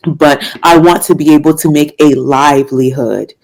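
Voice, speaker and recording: A woman's voice: low voice, fairly even intonation, moderate pace, a little mellow. A young woman from Washington, D.C., a jazz singer answering interview questions in an earnest, faith-filled way, with fillers and laughs. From Washington, D.C., United States. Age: young adult.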